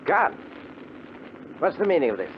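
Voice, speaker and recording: An actor playing a flustered, indignant ship's captain who stammers and protests, in 1950s radio drama style. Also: male voice, fairly high pitch, big pitch swings, moderate pace. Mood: alarmed, indignant. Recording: tinny, noisy 1950 radio recording.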